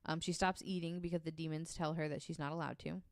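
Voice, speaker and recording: A woman tells a story to her podcast co-hosts in a relaxed, chatty way. She fills her pauses with ums and swears offhandedly. Her voice is slightly low and smooth, and it sounds dry and close to the mic. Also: dry recording. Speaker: podcast co-host telling the story. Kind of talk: casual podcast chat.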